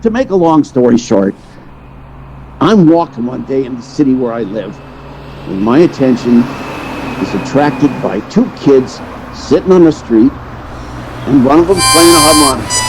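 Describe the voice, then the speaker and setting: A man's voice: fairly high pitch, rough recording. A man playing a sentimental, wheedling theatrical agent who tells his story in an old-time radio drama. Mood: storytelling, curious. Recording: noticeable background noise.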